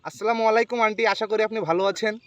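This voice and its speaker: A man's voice: high voice, fairly even intonation, very fast, muffled recording, background noise. A man from Jessore in Bangladesh who does prank calls, explaining himself casually on the phone. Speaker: prank call entertainer.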